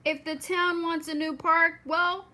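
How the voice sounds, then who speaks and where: A decisive town mayor from a children's book, acted by a woman. The voice is high and commanding, declaiming orders to the townsfolk. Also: little pitch movement, measured pace, pushed and bright, exaggerated voice.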